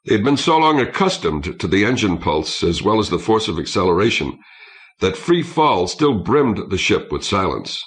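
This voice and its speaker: A man reading a science fiction story aloud as an audiobook narrator, in a measured and expressive way. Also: deep voice. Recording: old cassette audiobook transfer.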